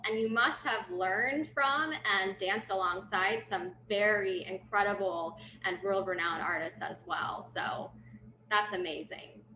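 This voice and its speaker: Enthusiastic woman host asking questions; bright tone, echoey room. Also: mid-range voice. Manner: enthusiastic, warm praise. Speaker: interviewer. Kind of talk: friendly interview questions. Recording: echoey room with faint noise.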